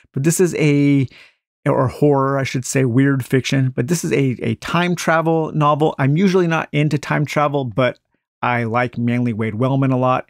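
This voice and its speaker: Enthusiastic male book collector, gravelly and dry, relaxed delivery with fillers. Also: mid-range voice, dry recording. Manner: enthusiastic, unhurried, many uh fillers. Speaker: book collector showing a book haul. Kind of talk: casual book haul chat with a read-aloud passage. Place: indoors at a table with books.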